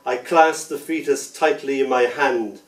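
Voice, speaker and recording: A man reading his poetry aloud, with a rhythmic, playful delivery that softens into gentle lullaby lines. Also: medium pitch, unhurried. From Ireland. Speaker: poet. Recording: roomy sound from a distant mic.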